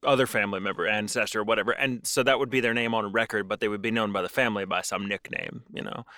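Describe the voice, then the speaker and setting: A man's voice: fairly low pitch, fairly even intonation, quick pace, pushed and bright, no room sound, clean studio sound. A man co-hosting a podcast, chatting casually and jokingly. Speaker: podcast co-host.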